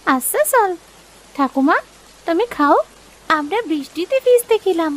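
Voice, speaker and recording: A woman's voice: very high-pitched, lively intonation, unhurried, low-quality recording. A woman voicing a caring, timid young village girl in a cartoon story, childlike and earnest. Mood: eager. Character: caring, timid young village sisters, poor orphan girls. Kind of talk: Bengali cartoon story acting.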